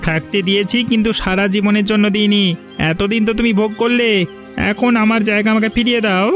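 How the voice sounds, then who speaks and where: A man's voice: sits high, little pitch movement, a bit bright. A man voicing a sly, menacing village landgrabber in a moral-story cartoon. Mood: threatening. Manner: sly, menacing, drawn-out phrasing. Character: scheming, bullying village strongman landgrabber. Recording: music behind the voice.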